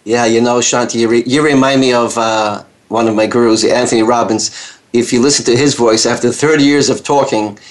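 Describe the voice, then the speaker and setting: A man's voice: medium pitch, fairly even intonation, a little fast. A man hosting a radio interview who speaks hesitantly, with many fillers and restarts. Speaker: radio host.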